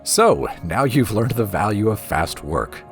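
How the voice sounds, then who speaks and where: Man acting a cruel, mocking master thief, wildly animated. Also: lowish voice, measured pace, soft background music, voice pushed up. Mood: cruel, taunting. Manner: menacing, mocking, theatrical. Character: cruel, impatient male master thief and mentor.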